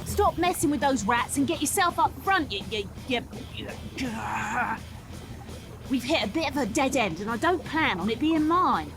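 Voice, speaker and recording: An actress playing a tough, sarcastic young rat boat captain with a British accent, brash and no-nonsense, in a video game. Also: female voice, high voice, big pitch swings, normal speed, long pauses, over music. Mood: scolding.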